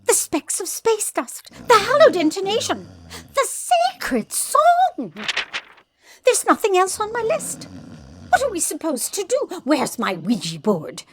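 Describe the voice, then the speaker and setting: Slow, very high-voiced pompous seeress. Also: female voice, huge pitch swings, a little hiss or hum, heavily acted. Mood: baffled. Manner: portentous, ceremonial. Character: solemn, pompous mystical seer woman who follows rituals literally. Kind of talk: comedic audio drama acting.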